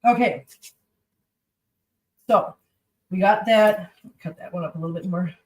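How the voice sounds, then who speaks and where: A woman's voice: lowish voice, measured pace, darkish tone, distant mic. A woman hosting a livestream from her home kitchen, chatting casually while cooking. She sounds congested and rambles, talking to her pets and viewers.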